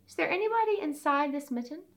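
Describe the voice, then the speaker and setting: A woman voicing a tiny, polite female mouse in a storybook reading, squeaky and eager. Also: expressive, fast talker.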